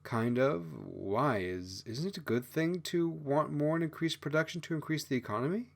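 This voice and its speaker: A man's voice: a little high, moderate pace, vocal fry, voice pushed up. A man voicing a proud, imperious female fox spirit in an audiobook fantasy story. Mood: confused.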